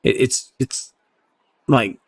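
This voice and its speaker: A man giving casual, unscripted game review commentary in a halting, conversational way. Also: dry recording. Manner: halting, conversational, often checks in with "right?". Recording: clearly audible background noise.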